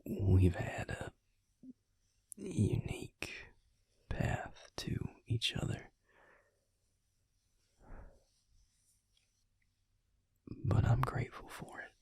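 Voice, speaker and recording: A man playing a tender, hesitant vampire lover in an intimate audio roleplay, speaking in a halting, husky whisper. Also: fairly low pitch, sing-song, heavily acted. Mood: tender, grateful. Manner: halting, gentle, slow with long pauses.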